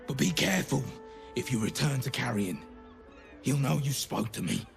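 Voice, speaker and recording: Gravelly, frightened undead servant. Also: male voice, mid-range voice, lively intonation, soft background music. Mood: anxious warning. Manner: halting, anxious, emotional delivery. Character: frightened, bitter undead zombie servant man, pleading for life. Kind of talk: video game dramatic acting.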